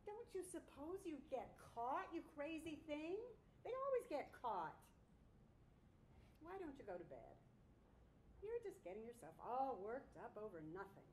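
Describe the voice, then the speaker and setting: High-pitched, exasperated nagging wife played by a woman. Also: very animated, measured pace, a little mellow. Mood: mocking, dismissive. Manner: nagging, scornful, bossy. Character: exasperated, bossy, sharp-tongued wife, scornfully unafraid of her husband. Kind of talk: comic stage dramatization of a short story. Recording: live stage recording with rumbling background noise.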